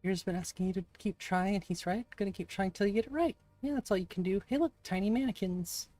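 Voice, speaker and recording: A streamer with a raspy voice chatting casually with viewers during a live game stream. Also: mid-range voice, fast, dark tone, dry recording, faint music behind. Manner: chatty, reacts aloud and answers chat. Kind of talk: casual live-stream chat while gaming. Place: home room.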